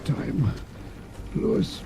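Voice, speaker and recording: An actor in an old-time radio drama playing a dying ship's doctor, weakly gasping out a warning. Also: male voice, drawn-out words. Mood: dying, urgent. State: weak, gasping, struggling to speak. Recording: old radio broadcast, music behind.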